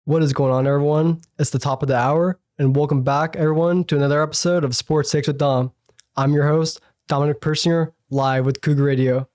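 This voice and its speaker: A young man hosting a college radio sports show, chatty and full of fillers, slightly hoarse and sniffly from a cold. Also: fairly high pitch, steady tone, a little fast, darkish tone, no echo, clean studio sound. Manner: chatty, many fillers and self-corrections. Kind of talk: casual solo sports talk.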